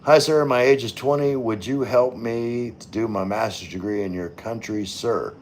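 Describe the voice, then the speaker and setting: A man's voice: medium pitch, moderate pace, a little hiss or hum. A middle-aged man hosting a casual livestream, reading out viewer comments and answering them in a rambling, informal way. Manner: rambling, informal, occasionally swearing. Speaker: livestream host.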